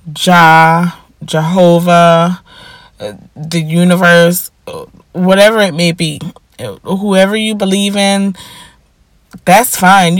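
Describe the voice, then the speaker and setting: A young woman chats through her solo podcast at an unhurried, drawn-out pace, her voice sitting a bit low and sounding congested and stuffy. She speaks frankly, with plenty of ums and pauses, into a close, dry mic. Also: no room sound. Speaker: podcast host. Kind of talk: casual solo podcast monologue.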